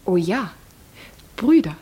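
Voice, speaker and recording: An actress playing a shy, guarded young island princess in a 1950s German radio drama, soft-spoken, with simple, reserved replies. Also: female voice, medium pitch, very animated, slow pace, husky, exaggerated voice. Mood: plain, reserved.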